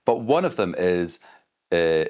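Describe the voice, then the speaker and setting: A Scottish man speaking as an expert guest, explaining things in a measured, careful, conversational way. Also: deep voice, sing-song, a little mellow, lo-fi sound. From Scotland.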